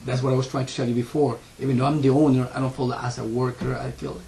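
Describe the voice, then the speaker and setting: A man in his forties from Ecuador with a heavy Spanish accent, telling his life story in an interview, earnest and halting with many fillers and restarts. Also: mid-range voice, level tone, warm tone, low-quality recording. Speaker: interviewee, school owner.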